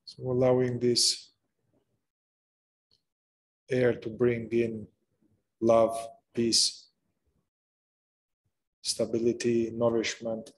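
A man leading a guided meditation, speaking gently in short phrases with long pauses between them. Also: medium pitch, monotone, husky, poor audio. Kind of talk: slow guided meditation narration.

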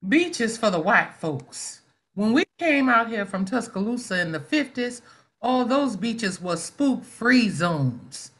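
A woman's voice: fairly high pitch, very animated, slow pace, a bit bright, full character voice. A woman plays a middle-aged schoolteacher in a 1970s stage drama. She is proud and mouthy, with a pointed, needling way of talking. It is a reading over a video call. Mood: conversational. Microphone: video call microphone.

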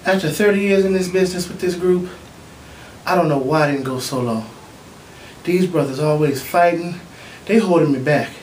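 A man plays a weary veteran soul-group leader who grumbles ruefully about being held back by his bandmates. The voice sits a little high for a man. The sound is roomy, with a light hiss behind it. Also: moderate pace, pauses often, a little mellow, natural voice. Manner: rueful, put-upon bragging. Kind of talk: comedy sketch acting. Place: church building indoors. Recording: echoey room with faint noise.

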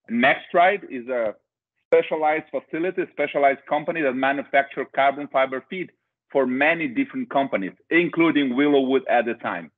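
A middle-aged man from Brazil with a Brazilian accent, presenting a webinar over a video call with hesitant restarts and filler uhs. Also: mid-range voice. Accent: Brazilian Portuguese. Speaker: company director presenting a webinar. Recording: tinny phone-like video call audio.

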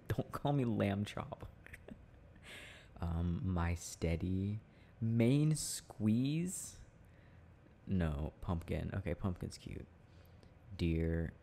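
A young man speaking in a playful, affectionate, halting murmur, like an intimate, casual ASMR boyfriend roleplay, with a deep gravelly voice. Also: warm tone. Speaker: boyfriend roleplay audio performer. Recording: faint background noise.

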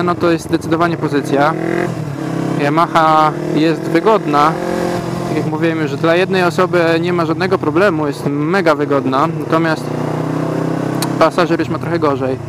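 Man talking over loud motorcycle noise. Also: a little high. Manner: relaxed, rambling, many hesitation sounds. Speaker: motovlogger. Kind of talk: casual talk while riding. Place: riding a motorcycle through city traffic outdoors. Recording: loud engine and wind noise. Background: motorcycle engine and traffic.

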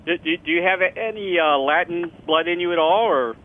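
A man hosting a radio interview, casual and friendly, with many quick backchannel replies, heard over a phone line. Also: a little high, slight background noise. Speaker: radio show host.

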